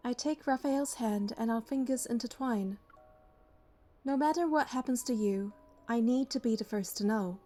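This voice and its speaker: An actress playing a devoted, protective young bodyguard heroine in a romance game, telling her story in an earnest, measured way. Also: on the high side, warm tone, natural voice. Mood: tender, narrating. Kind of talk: romance game narration and dialogue.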